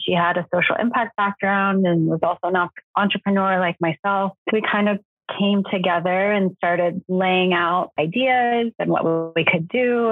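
Guest, woman from Syria, chatty and unscripted, husky tone, phone-quality remote audio. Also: fairly low pitch, dry recording. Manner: conversational, with fillers and self-corrections. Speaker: guest, co-founder of a soap brand. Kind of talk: casual interview answers.

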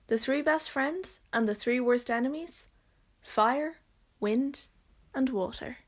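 Slow-speaking Irish woman reciting proverbs. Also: fairly high pitch. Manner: deliberate, measured recitation with pauses. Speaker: storyteller. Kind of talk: proverbs read aloud in Irish and English.

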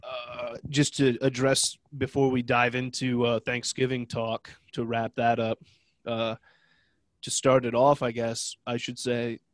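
A man's voice: mid-range voice, monotone, unhurried, dry recording. A young man from Ohio with a cold that makes him sound nasal and stuffy, co-hosting a podcast in loose, rambling, joking chat. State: congested from a cold. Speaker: podcast co-host. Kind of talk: casual chat.

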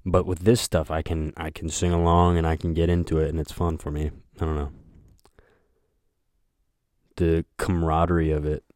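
A young man hosting a solo podcast, talking in a flat, monotone voice that rambles, with lots of hesitation and filler words. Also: very deep voice, moderate pace, pauses often, dry recording, studio quality. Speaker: podcast host. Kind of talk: casual solo podcast chat.

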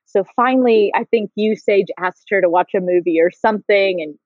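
A woman's voice: medium pitch, dry recording. Chatty woman host, slightly dull sound. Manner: chatty, self-deprecating, fast-talking. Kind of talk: casual interview chat.

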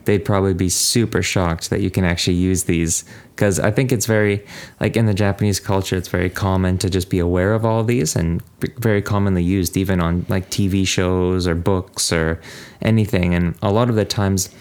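Man, low-pitched, podcast host, casual chat, language-learning show. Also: steady tone, brisk, a little mellow.

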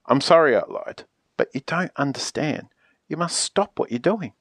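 A woman voicing an exasperated, pleading character in a children's picture book, theatrical and emphatic with drawn-out pleas. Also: deep voice, very animated, breathy edge, dry recording, clean studio sound, pitched below the performer's own voice, heavily acted. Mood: desperate pleading.

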